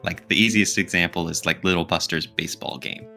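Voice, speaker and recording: A man with a fairly low, very bright and forceful voice co-hosts a casual gaming podcast. He talks in an opinionated, emphatic manner, and music plays behind him. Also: fairly even intonation, a little fast, gravelly. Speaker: podcast co-host. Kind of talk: casual podcast discussion of video games.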